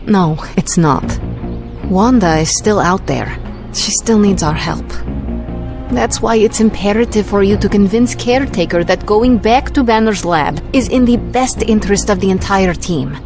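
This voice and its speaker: A woman's voice: mid-range voice, moderate pace, music in the background, natural voice. A woman playing a teasing, mischievous young sorceress, sly and confident, in a video game. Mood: determined. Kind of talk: video game cutscene acting.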